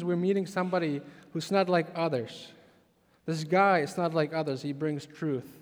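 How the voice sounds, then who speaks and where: A man from the Czech Republic with a Czech accent, preaching a sermon as a pastor, animated and emphatic with frequent restarts. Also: high-pitched, big-room sound.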